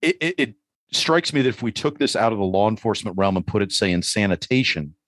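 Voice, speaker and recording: A man's voice: on the low side, expressive, fast talker, fairly bright tone, dry recording. A middle-aged man hosting a podcast, talking casually in long, rambling, philosophical asides. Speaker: podcast host.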